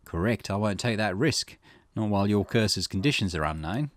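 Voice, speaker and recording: A calm male investigator, acted out by a man in a low voice. He is a visual novel character, measured and polite, giving earnest counsel. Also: natural voice.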